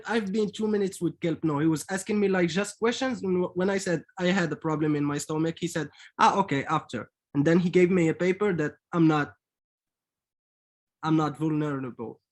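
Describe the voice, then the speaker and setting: Slightly high-voiced man, plain and direct. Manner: plain, direct, repeats words as he goes. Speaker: panel speaker. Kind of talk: personal account.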